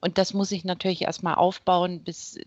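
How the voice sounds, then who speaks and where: A middle-aged woman from northern Germany, a judge and court president, answering interview questions in a measured way with frequent hesitations. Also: on the low side, flat delivery, no echo, muffled recording. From East Frisia, Germany. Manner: measured, many hesitation sounds.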